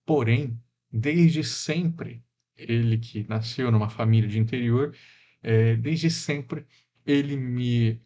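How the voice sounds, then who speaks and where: Unhurried male lecturer, dull lo-fi sound. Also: medium pitch, a little mellow. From Mato Grosso do Sul, Brazil. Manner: reflective, unhurried, frequent fillers and tag questions. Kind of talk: recorded lecture.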